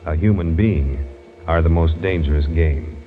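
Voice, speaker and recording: A man's voice: very deep voice, a little slow. A man giving an ominous, dramatic scripted introduction as narrator of a 1940s radio drama. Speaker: programme narrator. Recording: muffled old radio with loud music.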